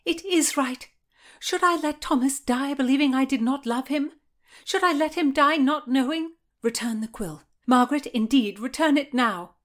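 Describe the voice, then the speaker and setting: A woman's voice: high-pitched, normal speed, voice pushed up. A woman voicing an imperious, doomed young Tudor noblewoman, dramatic and demanding, in a narrated historical story. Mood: urgent, defiant.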